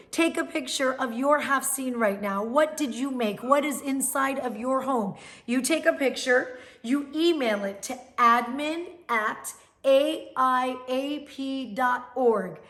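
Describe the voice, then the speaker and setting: Upbeat woman MC, high voice, event announcements. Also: a little slow, fairly bright tone. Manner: upbeat, instructive. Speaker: MC of a cultural celebration.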